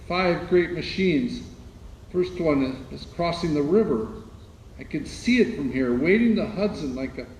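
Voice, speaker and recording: A man playing a solemn, increasingly desperate radio announcer giving halting, dramatic eyewitness reports in a 1930s radio drama style. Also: fairly high pitch, darkish tone. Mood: tense awe. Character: male radio announcer describing invasion, solemn, growing desperate. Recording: echoey room with noise.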